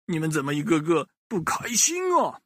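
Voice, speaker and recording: A boastful pig monk from a storybook, chuckling and sure of himself, his voice pitched up and loud, voiced by a man. The sound is dry and close. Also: sing-song, moderate pace, breathy edge, no room sound, exaggerated voice. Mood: smug. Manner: chuckling, cocky. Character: greedy jolly pig monk, male, boastful.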